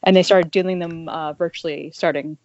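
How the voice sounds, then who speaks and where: A woman's voice: fairly low pitch, steady tone, normal speed, lo-fi sound, heavy background noise. A woman explaining things casually and informally to friends on an internet call, swearing a little. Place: at home on a group video call.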